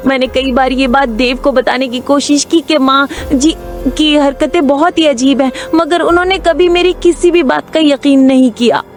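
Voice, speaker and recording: A woman's voice: high-pitched, steady tone, moderate pace, natural voice. A woman playing a frightened young married daughter, anxious and pleading, in dramatized story dialogue. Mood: frightened, insistent. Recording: background music under voice.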